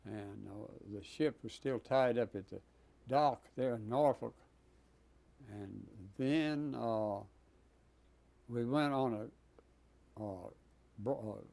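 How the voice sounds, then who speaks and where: Elderly man in his nineties, mellow and dark-toned, unhurried and halting, pausing often with fillers, sometimes coughing. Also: mid-range voice, creaky. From Texas. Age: 90s. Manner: halting, many pauses and fillers. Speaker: Navy veteran guest. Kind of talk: oral history interview.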